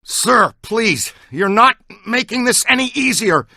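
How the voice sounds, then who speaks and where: A man's voice: very high-pitched, animated, unhurried, pushed and bright, no echo, studio quality, exaggerated voice. A man acting as an eager, talkative ghost-hunting character in a video game, earnest and full of technical jargon. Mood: upbeat, instructive. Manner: earnest, technical jargon, urgent call-outs.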